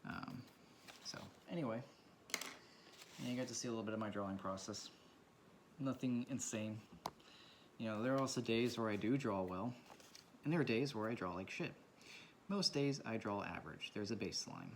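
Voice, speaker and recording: Low-voiced man, quiet rambling talk. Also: normal speed, pauses often, slight background noise. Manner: speaks quietly, rambling and reflective. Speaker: vlogger. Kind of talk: casual talk while drawing. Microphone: camera mic from a propped camera.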